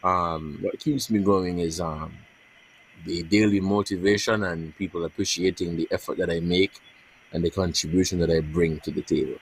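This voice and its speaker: A man, a journalist answering interview questions in a measured way, often repeating words as he searches for them. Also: low voice, steady tone, slight background noise, low-quality recording. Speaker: journalist guest.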